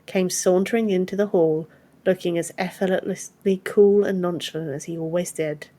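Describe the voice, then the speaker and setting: A woman narrates a fantasy audiobook in a fairly low register. The delivery is scripted storytelling, read as the narrator of the tale. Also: darkish tone.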